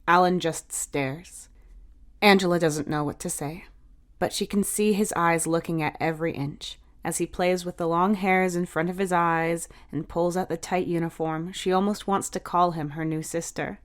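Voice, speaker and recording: A woman's voice: on the low side, steady tone, moderate pace. A woman reading a scripted, dramatic story as its narrator. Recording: music behind the voice. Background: music.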